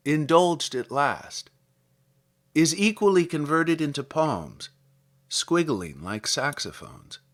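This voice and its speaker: A man reading scripted literary material aloud as a podcast host, in a measured, articulate, theatrical manner. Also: fairly high pitch, bright, forceful tone. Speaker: podcast host and poetry reader. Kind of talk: scripted literary introduction and poetry recitation.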